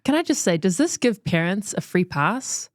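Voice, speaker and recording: A woman's voice: mid-range voice, big pitch swings, no room sound, studio quality. Curious New woman co-host. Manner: curious, reflective, quick questions. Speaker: podcast co-host. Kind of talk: casual podcast chat.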